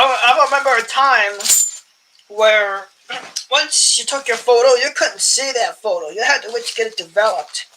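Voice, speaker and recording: A young man with a higher voice for a man chats casually while sorting his coin collection, amused at his own stories and often clearing his throat. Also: background noise. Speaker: coin collecting channel host. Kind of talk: casual rambling vlog chat while sorting coins.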